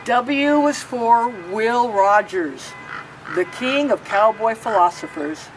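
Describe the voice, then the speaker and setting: A woman reading a rhyming picture book aloud, pausing to explain the pictures. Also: fairly high pitch, animated, distant mic, soft background music, poor audio. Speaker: storybook reader.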